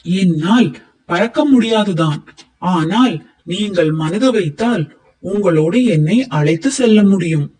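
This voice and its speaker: A man's voice: high voice, measured pace, darkish tone, rough recording. A man voicing an earnest, overconfident turtle in a children's moral story, speaking in an emphatic, theatrical way. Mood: persuasive. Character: earnest, stubborn male turtle, overconfident and pleading.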